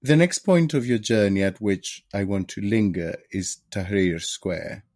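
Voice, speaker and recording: A man's voice: fairly low pitch, measured pace, a little mellow, no echo. A man hosting an interview, asking questions with a dry, wry humor. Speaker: podcast host.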